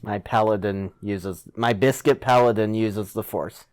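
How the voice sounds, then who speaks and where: Clear, low-voiced man playing a tabletop game. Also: fairly even intonation, measured pace, a little mellow. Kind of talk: playful in-character game talk.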